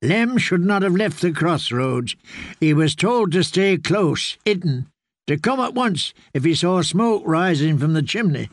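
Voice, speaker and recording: A man voicing a kindly, courteous old man in rags who tends wounds, in a slow, soothing storybook reading. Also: sits high, expressive, dry recording, clean studio sound, voice pushed up, full character voice. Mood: grave. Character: kindly old ragged man, gentle healer.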